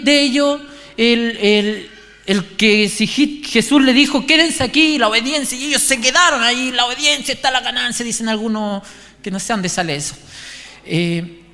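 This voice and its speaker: A man with a Chilean accent giving an informal, conversational sermon, haltingly repeating and restarting his phrases. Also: extremely high pitch, pushed and bright. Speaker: preacher. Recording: echoey hall, long reverb.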